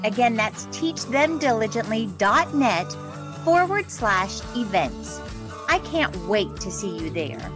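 A woman's voice: fairly high pitch, sing-song, slow pace, over music. A woman speaking as a podcast host, introducing the show and interviewing a guest.

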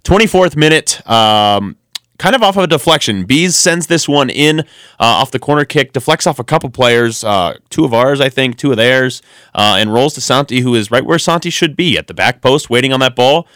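A man's voice: mid-range voice, expressive, moderate pace, fairly bright tone, smooth, no echo. A man co-hosting a sports podcast in a radio studio, chatting casually and rambling through lots of ums and restarts. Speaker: podcast co-host.

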